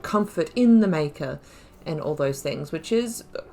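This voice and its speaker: A woman's voice: sits low, lively intonation, moderate pace, gravelly, a little mellow. A woman giving casual, rambling and reflective game commentary as she thinks aloud through a playthrough. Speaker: gaming video host.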